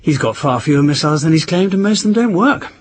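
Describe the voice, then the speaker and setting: An actor playing an urbane 1960s British husband in a radio drama, clipped, understated and dryly ironic. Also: male voice, a little high, animated, fast talker, slight background noise, natural voice. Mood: wry, grave. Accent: British English.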